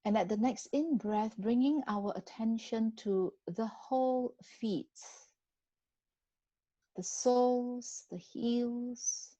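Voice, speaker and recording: A woman guiding a body scan meditation in a gentle, unhurried manner with long pauses between phrases. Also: on the high side, no echo, lo-fi sound. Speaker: meditation guide.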